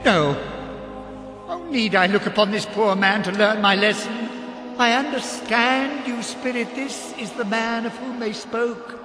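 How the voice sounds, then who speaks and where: An actor playing a frightened elderly miser in a radio drama, tremulous, halting and beseeching. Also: male voice, very high-pitched, fairly even intonation. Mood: pleading dread. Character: frightened, pleading elderly male miser facing spirits. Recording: echoey reverberant studio effect under music.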